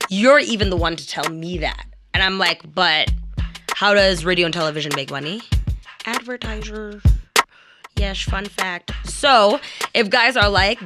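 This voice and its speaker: A woman in a candid discussion, explaining things in an emphatic, conversational way. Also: on the low side. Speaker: actor and radio professional. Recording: music playing under speech. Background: music.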